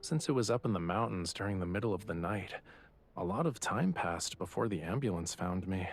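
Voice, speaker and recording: Low-voiced, softly teasing young artist. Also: male voice, expressive, fairly quick. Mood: reflective, subdued. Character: young male artist, teasing, self-assured yet quietly vulnerable. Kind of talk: romance game voice acting.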